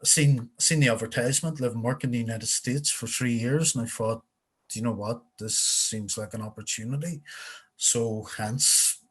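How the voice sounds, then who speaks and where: A man's voice: mid-range voice, normal speed, husky. Belfast man, forties, easygoing guest recounting his past over a video call. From Northern Ireland. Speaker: interview guest.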